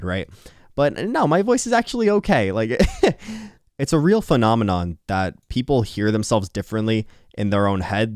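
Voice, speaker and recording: A young man speaks late at night, tired and candid, drifting between thoughts with casual swearing. His slightly nasal voice rises and falls a lot in pitch. The sound is dry and close, with no room around it. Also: no room sound. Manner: rambling, candid, swearing, quick laughs. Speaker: YouTuber. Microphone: earphones on, close mic.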